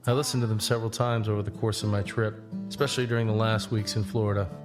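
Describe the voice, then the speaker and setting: Man acting young male narrator, low voice, reflective, first-person audio drama, music behind. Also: level tone, fast, lightly acted. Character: reflective young male traveler back from a long walk. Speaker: narrator and lead character.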